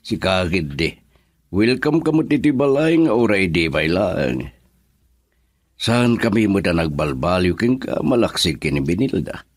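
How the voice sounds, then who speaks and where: A man's voice: low voice, very animated, measured pace, no echo, heavily acted. A man with an Ilocano accent playing a welcoming older man in a radio drama.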